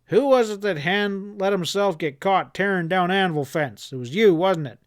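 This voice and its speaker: A man's voice: on the high side, normal speed, sharp tone, dry recording, studio quality. A man voicing a hard, furious outlaw leader who roars and snarls his threats. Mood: snarling. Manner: snarling, roaring, ominous.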